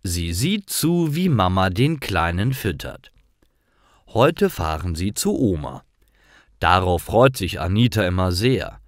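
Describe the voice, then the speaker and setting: A man narrating simple scripted stories for language learners in a careful, expressive way. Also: deep voice, slow, dry recording. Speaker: storyteller for German learners.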